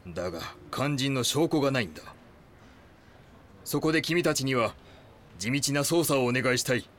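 An actor playing a cautious, authoritative police chief in an audio drama, formal and commanding. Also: male voice, mid-range voice, expressive, moderate pace, fairly bright tone, close to an everyday voice.

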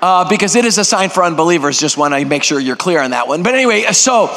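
An older man preaches with a noisy, energetic delivery, cracking jokes between points. His voice sits high for a man. It rings with the long reverb of a big church hall. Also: quick pace. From Greek heritage. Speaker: guest preacher. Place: large church sanctuary.

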